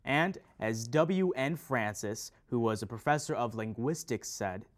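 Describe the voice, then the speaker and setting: A man's voice: mid-range voice, expressive, measured pace, lightly acted. A man playing a pedantic teacher in an instructional play, stressing key words in a didactic way. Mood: pedantic, insistent. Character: pedantic male teacher patiently correcting a student. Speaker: readers theater performer. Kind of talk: scripted instructional stage dialogue.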